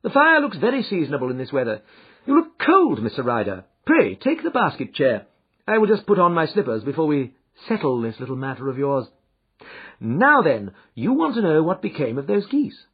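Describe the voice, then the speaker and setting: Guy reading as a smooth confident detective, bland and cheery, lively up-and-down voice, bit husky, muffled sound. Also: fairly high pitch, moderate pace, pitched above the performer's own voice, full character voice. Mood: suave. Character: calm, confident male detective.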